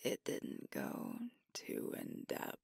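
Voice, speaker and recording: A woman voicing a sweet, tender werewolf girlfriend in an ASMR roleplay, speaking in a soft, breathy whisper with gentle, intimate storytelling. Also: slow, no room sound, clean studio sound, close to an everyday voice. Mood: gentle nostalgic.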